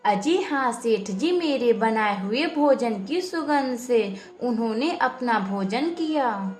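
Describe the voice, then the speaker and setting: A woman's voice: a little high, animated, takes it slowly, darkish tone. A woman voicing an eager, insistent servant's wife who cooks, in a cartoon moral story, with a coaxing manner. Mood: pleased. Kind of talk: animated moral story dialogue.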